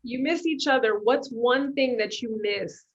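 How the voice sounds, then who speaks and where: High-voiced woman moderator, slightly muffled. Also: unhurried. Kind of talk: casual interview questions.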